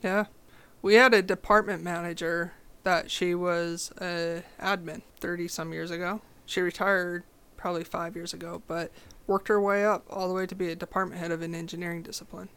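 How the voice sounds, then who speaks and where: A young woman with a low voice, talking as a podcast guest in a direct, confident, casual way. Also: normal speed, clear, resonant tone. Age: young adult. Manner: confident, direct, animated storytelling. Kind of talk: casual interview chat.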